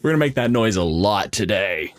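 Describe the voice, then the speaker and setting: A man's voice: on the low side, expressive, a little fast, no echo. A man hosting a podcast in casual chat with dry, wry humour. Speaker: podcast host.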